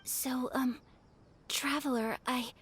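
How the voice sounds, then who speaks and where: A woman playing a gentle, polite young noblewoman in a video game, earnest, shy and softly hesitant. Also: high voice, fairly even intonation, slow, slight background noise. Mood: shy, nervous. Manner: soft, hesitant, formally polite. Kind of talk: English video game voice acting. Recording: music behind the voice.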